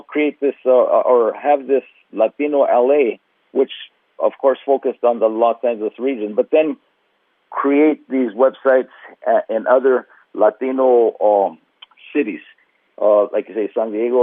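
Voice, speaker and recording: A man, a museum's marketing director, answering radio interview questions in a halting way with many fillers and restarts. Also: medium pitch, no room sound. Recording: tinny phone line.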